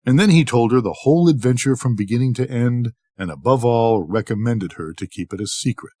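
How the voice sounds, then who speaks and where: An older man reading a scripted bedtime story in an expressive, measured storytelling manner. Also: mid-range voice, no echo, studio quality. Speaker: storybook reader.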